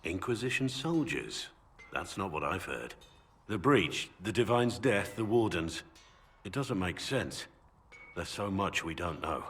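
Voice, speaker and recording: A blunt, low-voiced seasoned warrior. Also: male voice, very animated, unhurried, fairly bright tone, a little hiss or hum, exaggerated voice. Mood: somber, pondering. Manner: blunt, earnest, plain-spoken. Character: gruff, seasoned male warrior recruiter of an ancient order. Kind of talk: dramatic fantasy game dialogue. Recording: background music under the voice.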